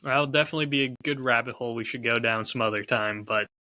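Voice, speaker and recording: A man's voice: medium pitch, fast, sharp tone, no echo. A man co-hosting a podcast in casual banter, with a dry, self-deprecating manner. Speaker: podcast co-host.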